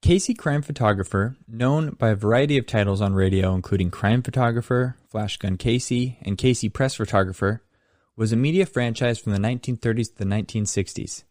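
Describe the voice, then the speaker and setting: A man's voice: on the low side, a little fast, darkish tone, dry recording, clean studio sound. A man hosting a podcast, reading scripted narration. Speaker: podcast host.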